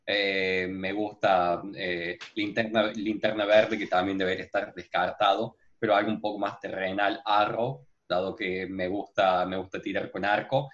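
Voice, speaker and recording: A man chatting with friends. He tells his story in an animated way, piling up one example after another. The sound is dull and lo-fi, as if from a cheap mic. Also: deep voice, normal speed, hardly any pauses. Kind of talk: casual podcast chat. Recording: muffled lo-fi mic.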